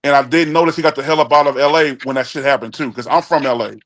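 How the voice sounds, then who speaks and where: A man in his mid-forties from Chicago gives his opinions in a blunt, emphatic, casual way. He often stumbles and restarts mid-sentence. His voice sits at an ordinary middle pitch. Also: fast talker, fairly bright tone. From Chicago, USA. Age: 40s. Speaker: guest caller on a talk show.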